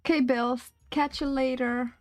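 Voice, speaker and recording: A woman's voice: high voice, fairly even intonation, unhurried, faint music behind. A woman hosting a casual chat in an easygoing way. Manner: easygoing, laughs along. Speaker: channel host.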